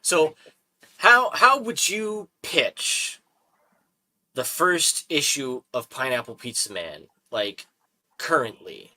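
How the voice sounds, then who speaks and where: A man hosting a casual talk stream, animated and quick to laugh, often repeating his words. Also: fairly high pitch. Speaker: host. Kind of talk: casual podcast chat.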